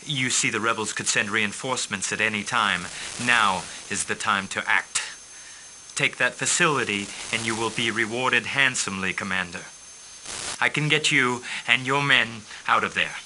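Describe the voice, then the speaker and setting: An actor playing a calm, formal male mercenary liaison who briefs a commander on missions in a video game. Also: on the low side, moderate pace, noisy background, lightly acted. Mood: composed. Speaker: mission handler.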